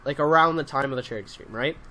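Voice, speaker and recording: A man's voice: medium pitch, quick pace, pushed and bright, slight background noise. Young man hosting a game roleplay. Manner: filler-heavy, exasperated bossy detective act. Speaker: channel host and Minecraft roleplayer. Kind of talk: casual let's-play chat and improvised roleplay.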